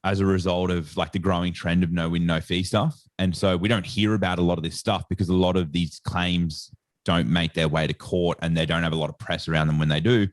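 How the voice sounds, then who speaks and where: A man's voice: deep voice, flat delivery, brisk, no room sound. An Australian man talking as a podcast guest in a casual, rambling way, full of "like" and "sort of.". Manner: rambling storyteller, heavy on "like" and "sort of". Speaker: guest, sports nutritionist.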